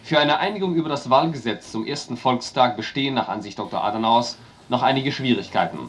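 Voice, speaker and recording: A sober 1940s news announcer, played by an actor, reads a political report in a radio drama. His delivery is neutral, at a middling pitch, with a faint hiss behind him. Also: fast talker, close to an everyday voice. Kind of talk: historical radio drama acting.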